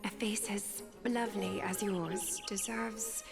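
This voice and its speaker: A woman playing a poised, shrewd, elegant seamstress in a video game, speaking in a measured, refined manner. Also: mid-range voice, fairly even intonation, vocal fry, music in the background. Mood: gently knowing. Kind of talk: video game character acting.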